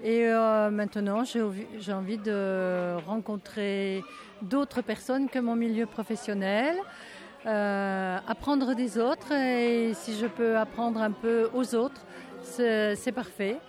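An older retired woman answering interview questions carefully, with pauses and fillers, at an outdoor neighbourhood party. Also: a little high, steady tone, darkish tone. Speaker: retired resident volunteer. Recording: outdoor party with music behind.

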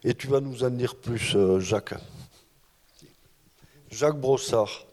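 A man's voice: medium pitch, darkish tone. Hesitant French man recalling festival days. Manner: hesitant, halting recollection. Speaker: festival organizer. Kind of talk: recollections before an audience.

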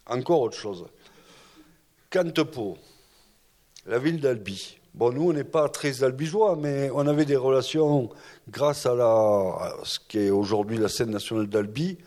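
A man who organized a festival, recalling its early years in a halting, hesitant way before a gathering. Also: mid-range voice, a little mellow. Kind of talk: recollections before an audience.

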